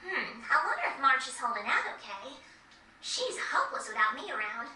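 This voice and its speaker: A woman's voice: high voice, big pitch swings, brisk, sharp tone, a little hiss or hum, heavily acted. A woman voicing a brash, bossy, spirited little girl in a video game. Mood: cocky, bossy. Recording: echoey, distant, over faint game music.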